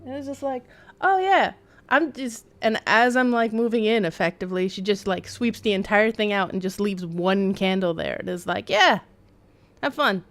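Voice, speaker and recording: A woman giving casual live-stream game commentary, rambling as she thinks aloud, with wry asides. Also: on the high side.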